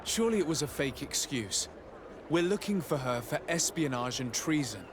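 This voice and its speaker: An actor playing a polite, composed young diplomat in a video game, speaking formally. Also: male voice, fairly high pitch, lively intonation, normal speed, close to an everyday voice. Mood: businesslike. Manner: formal, courteous questioning. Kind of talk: video game cutscene dialogue. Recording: clear noise, outdoor ambience behind.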